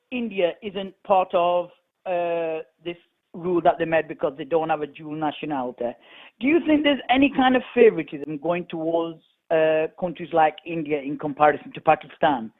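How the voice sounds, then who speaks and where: A young man calls in over a thin, tinny phone line, his voice pitched a little high. He puts his question eagerly, stopping often for "uh" and "you know". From England. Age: young adult. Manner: eager, questioning, with frequent fillers. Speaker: caller. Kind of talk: phone-in call. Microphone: telephone.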